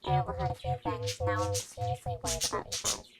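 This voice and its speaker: A woman's voice: fast, over a noisy crowd, lightly acted. A woman voicing a cheeky, teasing young male vigilante in an informal, needling way, for an audio story. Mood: worried urging. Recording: very noisy amateur recording, birdlike chirps.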